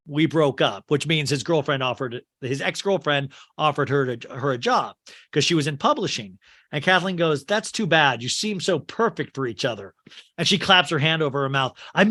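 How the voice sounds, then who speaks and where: Man podcast host, fairly high and bright, voice worn and strained, retelling a film and mimicking its lines. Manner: acts out quotes in shifting put-on voices. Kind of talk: recapping a film scene by scene.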